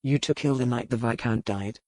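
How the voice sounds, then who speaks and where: Grave, courteous male doctor, deep and dry , voiced by a woman narrator. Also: a little mellow, no echo. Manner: formal, measured, courteous. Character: grave, earnest male country physician.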